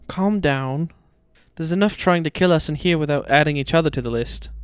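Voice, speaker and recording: Man putting on a high, dry-miked schoolboy wizard. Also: a little fast, clear, resonant tone, no room sound, soft background music, lightly acted. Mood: calming. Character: James, peacemaking teenage schoolboy wizard, wry. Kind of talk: amateur fan-fiction audio drama acting.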